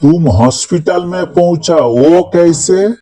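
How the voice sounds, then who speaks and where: A man's voice: a little high, takes it slowly, mellow, slight background noise. A man voicing an exasperated, sarcastic schoolteacher in a comedy cartoon, quizzing pupils with a mocking drawl.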